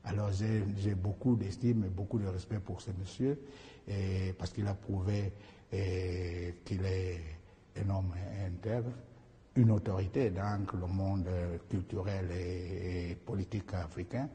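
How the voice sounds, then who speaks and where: A middle-aged man from Equatorial Guinea, whose first language is Fang, answering interview questions in a halting way with many pauses and restarts. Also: low-pitched, fairly even intonation, dark tone, big-room sound. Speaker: candidate being interviewed.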